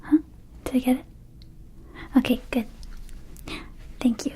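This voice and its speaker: A woman voicing a small, friendly vampire shopkeeper in an ASMR roleplay, whispering gently and haltingly to the listener as a close friend. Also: sits high, fairly even intonation, full character voice. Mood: grateful, friendly. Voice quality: soft, breathy whisper. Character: small friendly female vampire shopkeeper, quirky, needy, affectionate. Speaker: ASMR performer.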